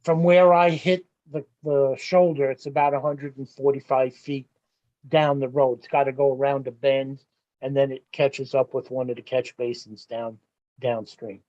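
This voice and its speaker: A man's voice: mid-range voice, little pitch movement, moderate pace, darkish tone. A man presenting his site plans to a village board, explaining in a practical, plain-spoken way. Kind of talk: explaining at a public meeting. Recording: lo-fi meeting audio.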